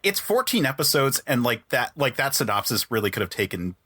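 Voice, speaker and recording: A man's voice: medium pitch, very animated, brisk, bright, forceful tone, breathy edge, no room sound, studio quality. A man hosting a podcast, chatting casually in a rambling way full of filler words. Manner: rambling, peppered with "like". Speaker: podcast host.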